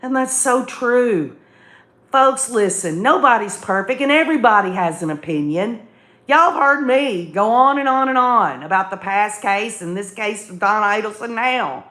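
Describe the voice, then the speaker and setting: A woman's voice: mid-range voice, animated, normal speed, bright, forceful tone. A woman hosting an opinionated live commentary, speaking emphatically and repeating phrases as she addresses listeners in a folksy, direct way. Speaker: livestream host commentator. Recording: echoey room sound.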